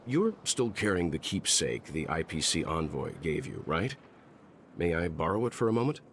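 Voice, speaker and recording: A man playing a composed older gentleman mentor in a video game, explaining things in a measured way. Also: low voice, big pitch swings, a little hiss or hum. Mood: thoughtful. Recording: loud music behind. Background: loud game music.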